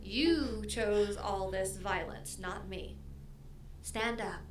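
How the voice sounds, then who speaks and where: A woman's voice: medium pitch, takes it slowly, roomy sound, over music, natural voice. A woman playing a menacing, playfully cruel villainess in an audio drama, taunting and mock-tender, sometimes sliding into whispers.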